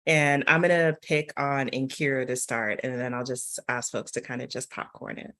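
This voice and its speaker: A woman's voice: extremely low pitch, steady tone, moderate pace, fairly bright tone. A woman author hosting a reading event, speaking informally to the participants. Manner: informal, uses y'all.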